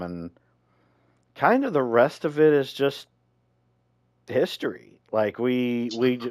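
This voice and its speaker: A man's voice: mid-range voice, a little mellow, no echo, studio quality. A man co-hosting a podcast, chatting casually and informally with a friend, with some swearing. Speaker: podcast co-host. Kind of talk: casual rambling chat with a friend.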